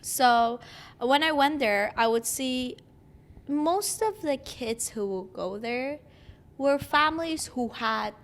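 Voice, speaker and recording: A woman's voice: high-pitched, lively intonation, slow. A woman in her twenties, from Iran, with an accent that mixes Persian and Greek, chatting casually as a guest and often laughing mid-sentence. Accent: mixed Persian and Greek. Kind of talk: casual interview chat.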